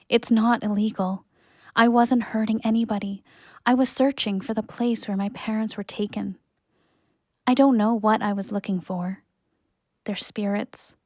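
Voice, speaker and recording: Imprisoned student, audio drama: wistful, quiet storyteller, medium pitch, dry sound. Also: female voice, no room sound. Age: young adult. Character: grieving young Canadian student in prison. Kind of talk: audio play monologue.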